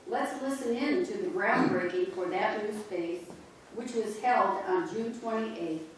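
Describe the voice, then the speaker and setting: A woman narrating a reader's theater performance in a church, with a measured, unhurried delivery. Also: medium pitch, a little hiss or hum. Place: church sanctuary. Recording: echoey church sanctuary, distant mic.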